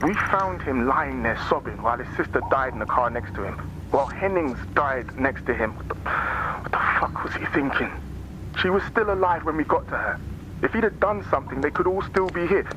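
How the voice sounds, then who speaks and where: A man's voice: medium pitch, expressive, brisk, lightly acted. Guy acting a bitter angry cop in a video game, blunt and emphatic, dull muffled sound w/ noise. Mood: bitter, upset. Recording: muffled, noisy lo-fi audio.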